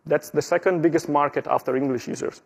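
A middle-aged man from Italy with an Italian accent, giving a steady tech conference walkthrough with small slips and fillers. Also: fairly high pitch, fast, creaky, husky. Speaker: conference presenter. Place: conference stage.

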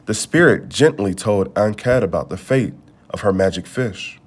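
A man reading a children's storybook aloud, with measured, expressive phrasing. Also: lowish voice, breathy edge. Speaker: storybook reader. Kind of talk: read-aloud storybook narration.

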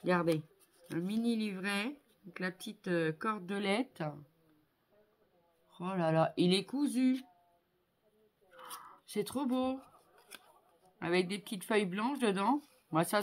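Craft vlogger, woman in her fifties, mid-pitched and unhurried, gushing thanks in casual, halting phrases. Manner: effusive, appreciative, exclamatory. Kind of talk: casual unboxing chat to viewers. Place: indoor home craft room. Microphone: phone on a tripod at arm's length.

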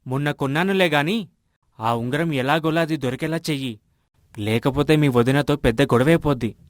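A man plays An earnest, generous villager from an animated moral tale. He explains things plainly in a slightly raised male voice, with a dry, close sound. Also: on the high side, moderate pace, dry recording, natural voice. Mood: concerned. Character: earnest, generous village husband missing a ring. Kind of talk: animated moral story dialogue.

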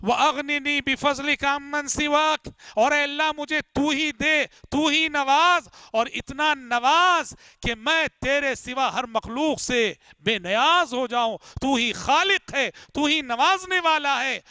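A middle-aged man delivering a religious lecture, slowly reciting Arabic prayers and explaining them with emphatic repetition, recorded on a headset mic indoors. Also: very high voice, pushed and bright, no room sound, clean studio sound. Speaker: Islamic lecturer. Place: indoor room with curtained window.

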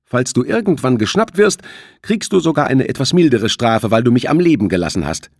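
Man voicing a defiant teenage boy, pitched high. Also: sing-song, fairly quick, no echo, studio quality. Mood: firm bargaining. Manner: urgent, commanding. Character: defiant, nervy teenage boy bargaining for his life.

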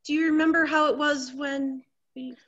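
A high-voiced, anxious wife of middle age, played by a woman, vain and fretful about herself. Her delivery is melodramatic and fluttery, full of exclamations, in the manner of a theatrical stage comedy. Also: fairly even intonation, moderate pace, a bit bright, lo-fi sound. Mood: sentimental, flustered.

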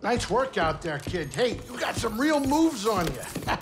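A man voicing a grumpy, excitable little alien starship pilot in a cinematic video game, gruff and wisecracking. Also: high-pitched, unhurried, over music. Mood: cocky. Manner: blustering, wisecracking.

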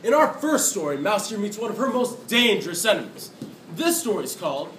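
A bright, forceful storyteller projecting scripted lines to a room. The pitch moves a lot and the delivery is emphatic, caught from a distance with echo. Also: sing-song, a little fast. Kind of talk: scripted storytelling, readers theatre. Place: classroom, indoors. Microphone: distant camera. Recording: echoey classroom from far, faint noise.

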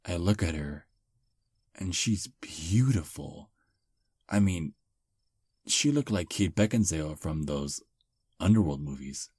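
A man playing a charming male vampire on a first date, soft, relaxed and self-deprecating, close to the mic in ASMR roleplay. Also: sits low, slow, pauses often, husky, no echo, heavily acted. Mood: calm.

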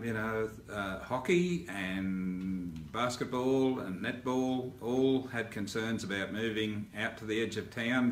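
An older Australian man delivers a civic update in a measured, formal way, pausing often on "uh" and restarting his phrases. His voice sits fairly low. The room sounds echoey, with a faint hiss underneath. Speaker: mayor giving a council update. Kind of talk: spoken video update to residents. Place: indoor office in front of a backdrop.

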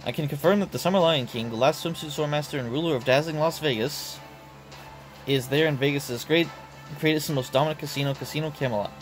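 A man's voice: a little high, fast talker, soft background music, lightly acted, poor audio. A man voicing a terse young male ninja scout in a game who reports back in a clipped, formal way. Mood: dutiful.

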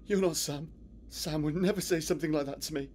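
A man voicing a panicky young man trapped underground in a horror game, halting, stammering and pleading. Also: on the high side, a little mellow, slight background noise, lightly acted. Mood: distressed.

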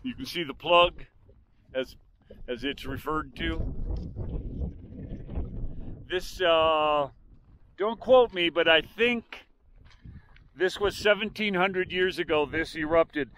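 An older man narrating a hike outdoors on a windy volcanic ridge, calmly explaining the landmarks around him. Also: fairly high pitch, low-quality recording. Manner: unhurried, explanatory, pointing out landmarks. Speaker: hiking vlogger. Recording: faint wind noise.

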